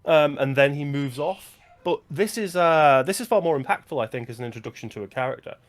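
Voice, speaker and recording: A man's voice: a little high, lively intonation, fairly quick. A man co-hosting a film podcast, talking casually with an analytical, opinionated manner.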